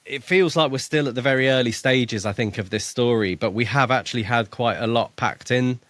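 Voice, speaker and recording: A man's voice: medium pitch, brisk, a bit bright, dry recording. A man co-hosting a book podcast, summarizing and chatting casually with stammering restarts. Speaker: podcast co-host.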